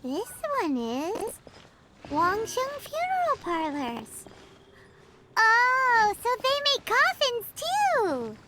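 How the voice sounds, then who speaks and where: Casting: excitable little girl game companion, piping high, very animated delivery. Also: drawn-out words, very noisy, exaggerated voice. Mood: excited, eager. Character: small childlike girl companion, excitable and eager. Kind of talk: video game voice acting.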